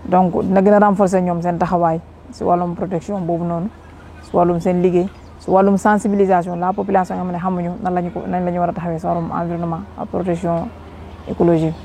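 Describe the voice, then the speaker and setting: A woman's voice: on the low side, flat delivery, fast talker, warm tone, a little hiss or hum. A woman with a Senegalese accent giving an interview testimonial, mixing in French terms as she talks. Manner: mixes Wolof with French terms. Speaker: local workshop participant.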